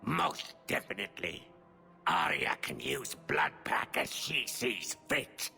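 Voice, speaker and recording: A sly, ambitious alien gangster from a sci-fi game. The voice is raspy and fiercely sharp, leaping up and down. Words come slowly in clipped, menacing grammar, with faint music behind. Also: male voice, sits low, big pitch swings, exaggerated voice. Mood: sly agreement. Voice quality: gravelly, raspy, breathy at times. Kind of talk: video game acting.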